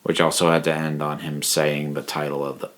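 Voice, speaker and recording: A man hosting a casual video review, talking to viewers in a halting, thinking-aloud way with emphatic pauses. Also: rumbling low, level tone, a bit bright. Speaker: video reviewer and channel host.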